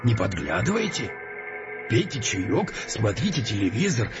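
A man playing a soft-spoken, insinuating tea lover in an audio drama, coaxing in drawled, halting phrases. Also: lowish voice, very animated, muffled recording, music in the background. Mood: cosy, insinuating. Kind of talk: scripted audio drama dialogue.

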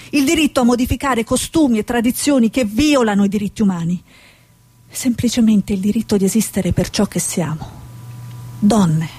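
Stage drama role: actress as earnest female reporter abroad, mid-pitched with a husky, rough edge. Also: mellow, lightly acted.

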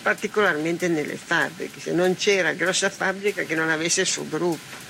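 A woman's voice: fairly low pitch, moderate pace, vocal fry, noisy background. An older woman from Italy explaining things conversationally, as in a recorded testimony. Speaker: former partisan giving testimony. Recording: guitar music under the voice.

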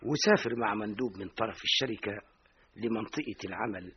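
A formal company official. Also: male voice, lowish voice, a little fast, husky. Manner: formal, apologetic. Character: polite company official delivering bad news. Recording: muffled radio recording with faint hiss.

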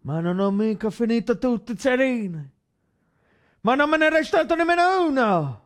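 An actor playing a hot-headed, earthy young Italian partisan in a theatrical radio drama, colloquial and exclamatory. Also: male voice, very high voice, big pitch swings, normal speed. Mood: urgent, resolute.

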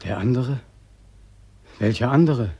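An actor in a radio play as a weary, despairing twenty-five-year-old war veteran, pleading and bitter. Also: male voice, medium pitch, lively intonation, slow, vocal fry, warm tone, breathy edge, no room sound, lo-fi sound, heavily acted. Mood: uneasy. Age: young adult.

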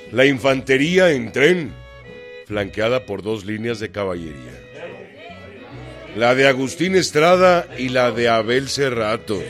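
An actor playing a commanding Mexican revolutionary general in a radio drama, with a Mexican accent. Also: male voice, mid-range voice, natural voice. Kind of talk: radio drama acting, dictating letters and orders.

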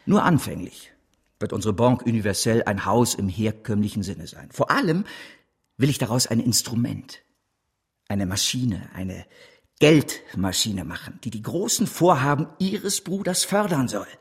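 Fervent, husky-voiced financier. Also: male voice, fairly low pitch, expressive, moderate pace, exaggerated voice. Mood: fervent, persuasive. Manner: rhetorical, emphatic, sweeping. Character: driven, persuasive male financier, fervent gambler at heart. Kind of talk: German radio drama acting.

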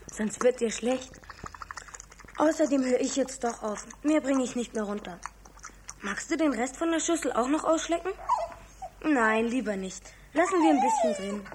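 A small Bavarian boy in an old radio play, with a very high, lively, childlike voice. He sounds eager and innocent, over clear recording noise. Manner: childlike, pleading, protesting. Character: greedy, innocent little schoolboy, eager to please.

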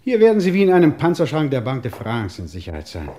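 An actor playing a brisk, impatient French police inspector, curt and sardonic, in an old German crime radio play. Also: male voice, mid-range voice, huge pitch swings, mellow.

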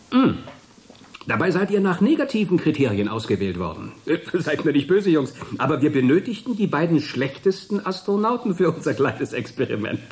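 An actor playing a jovial, smug space program chief in a German radio play, with a hearty, patronizing manner. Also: male voice, mid-range voice, a little mellow, close to an everyday voice.

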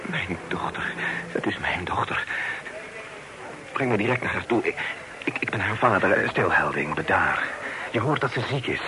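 An actor playing an earnest gentleman in a period radio drama. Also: low-pitched, animated, moderate pace, long pauses, crowd noise, poor audio. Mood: anxious, shaken.

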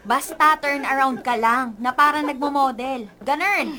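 An actress playing a bubbly, dramatic young student best friend in a radio drama, exclamatory and slangy. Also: female voice, high voice, a little slow, crowd noise. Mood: excited, shocked. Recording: dramatic music behind the voice.

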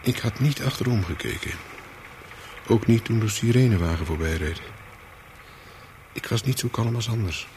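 An actor playing a wary, hunted courier, telling his story in a radio thriller in short, clipped, deliberate sentences. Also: on the low side, darkish tone, slight background noise, close to an everyday voice. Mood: wary, brooding. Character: hunted male courier of smuggled microfilms, wary, coolly calculating. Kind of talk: first-person thriller narration in a radio play.

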